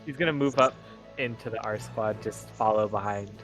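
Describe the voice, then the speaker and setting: A man's voice: on the low side, moderate pace, fairly bright tone. A man chatting casually as a player in a tabletop game, hesitant and cautious in how he talks. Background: music.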